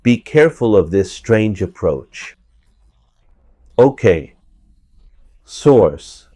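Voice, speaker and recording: A man with a hoarse voice from a cold, giving verse-by-verse scripture commentary and repeating points for emphasis. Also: on the low side, big pitch swings, extremely slow, mellow, dry recording. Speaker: religious commentator.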